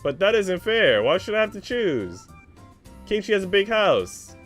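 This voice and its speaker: A man voicing an eager, tactless little girl in a visual novel, with a whiny, excitable, sweet manner. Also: high-pitched, a little slow, faint music behind, pitched above the performer's own voice, full character voice. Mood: pouty.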